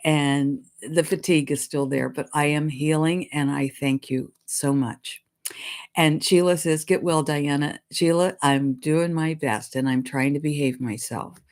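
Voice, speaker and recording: A woman psychic giving her weekly message in a casual way, her voice deep and hoarse from congestion as she recovers from illness. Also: fairly even intonation, measured pace, darkish tone. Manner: chatty, apologetic, clears throat. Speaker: psychic channeling a spirit guide.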